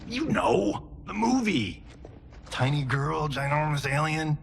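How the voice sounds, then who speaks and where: A man's voice: fairly high pitch, sing-song, slow pace, vocal fry, slight background noise, heavily acted. A man playing a cocky, wisecracking action hero in a video game, flippant and sarcastic. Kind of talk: video game cutscene acting. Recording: loud music under the voice. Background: loud music.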